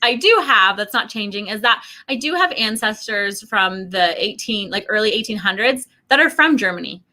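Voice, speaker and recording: A middle-aged woman telling casual stories in an animated, chatty way, acting out what people said. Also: mid-range voice, moderate pace. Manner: animated, chatty, acts out quoted remarks. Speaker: guest sharing family travel experiences. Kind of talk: casual conversational storytelling.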